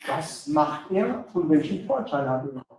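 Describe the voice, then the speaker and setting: High-pitched man lecturing in an echoey hall. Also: sing-song, slow pace, a little mellow, far from the mic. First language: German. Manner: speaks in short chunks, apologetic and friendly. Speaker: guest speaker, sales director. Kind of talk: lecture in German, paused for interpreter.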